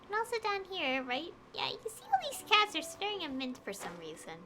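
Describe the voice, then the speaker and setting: A woman's voice: very high voice, wildly swooping pitch, fairly quick, noisy background. A woman game streamer chatting casually on a livestream in a rambling, conversational way. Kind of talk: casual livestream chat over gameplay.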